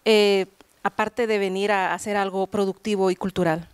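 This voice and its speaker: A woman's voice: medium pitch, fairly even intonation, normal speed, clean tone, dry recording. Woman interviewer, very dry sound. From Guatemala. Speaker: TV host, interviewer. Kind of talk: on-location broadcast interview. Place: large hall with seated group, indoors. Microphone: handheld interview microphone.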